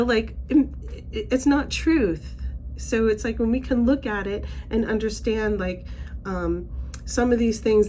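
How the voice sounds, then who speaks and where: A middle-aged woman talks through an oracle card reading in a loose, chatty way, full of fillers and restarts. Her voice is a little raspy after a recent bout of laryngitis. A faint hum of room noise sits behind her. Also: mid-range voice, a little mellow. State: slightly raspy, recovering from laryngitis. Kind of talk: casual spiritual card reading.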